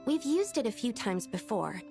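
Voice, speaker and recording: A lively, slightly high-pitched young alchemy assistant, speaks with polite diligence in a video game. Her delivery swings expressively as she explains things earnestly, with music clearly playing behind her. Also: normal speed. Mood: earnest, explanatory. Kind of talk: scripted video game dialogue.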